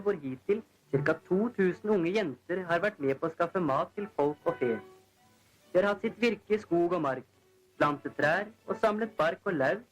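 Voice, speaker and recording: A man's voice: a little high, rough recording. A man narrates a 1940s newsreel in a formal, declamatory announcer style, on a vintage film soundtrack. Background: newsreel background music.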